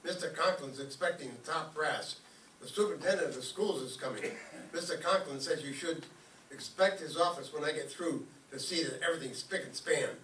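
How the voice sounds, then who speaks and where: A man's voice: on the high side, a little fast, pushed and bright. A man playing an eager, naive teenage schoolboy with gushing folksy slang in a radio comedy. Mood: eager, cheerful. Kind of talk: old-time radio comedy acting before an audience. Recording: roomy, distant microphone, faint noise.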